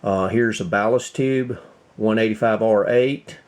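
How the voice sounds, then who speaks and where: A man giving a casual hands-on demonstration as a video host, thinking aloud in short, halting phrases. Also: fairly low pitch, darkish tone. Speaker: host of a radio repair video.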